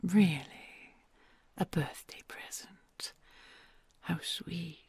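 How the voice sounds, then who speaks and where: Whispery breathy dragon queen, woman doing her, real slow and gravelly, super dry close sound, kind and doting. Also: fairly low pitch, dry recording, exaggerated voice. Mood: tender, teasing. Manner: soft hushed storytelling, affectionate and gently teasing. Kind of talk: ASMR audio roleplay.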